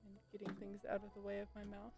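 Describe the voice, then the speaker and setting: A woman with a gravelly creak in her voice streams a video game. She talks casually, half muttering her thoughts as she plays. Game music plays clearly behind her. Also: mid-range voice, monotone, fast. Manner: muttering to herself, thinking aloud. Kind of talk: casual live gameplay commentary.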